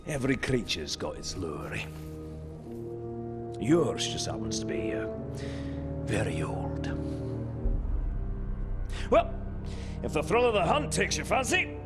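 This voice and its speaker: An actor playing a boisterous, roguish dwarf beast hunter in a video game cutscene, hearty and teasing, telling tales with swagger. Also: male voice, mid-range voice, huge pitch swings, takes it slowly, pauses often, music in the background. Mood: hearty, bargaining. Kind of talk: theatrical game cutscene dialogue.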